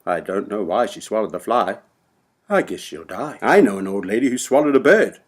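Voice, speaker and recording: Guy telling a kids rhyme, kinda raspy, really swoopy and playful. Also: mid-range voice. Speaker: storyteller. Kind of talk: rhythmic nursery rhyme recitation.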